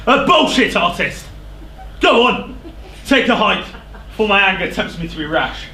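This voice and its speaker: A man's voice: high-pitched, lively intonation, measured pace, pushed and bright, heavily acted. An actor playing a proud, hot-tempered king in a stage verse drama, declamatory and scornful. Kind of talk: theatrical verse stage acting. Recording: echoey theatre stage recording, faint noise.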